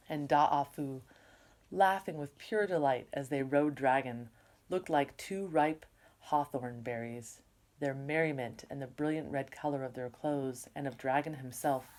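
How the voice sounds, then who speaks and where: A woman with a low-pitched voice speaks as a teacher reading to her class. She delivers the story expressively and slips into casual, explanatory asides for the listeners. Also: darkish tone. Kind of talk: read-aloud storytelling with casual asides to students.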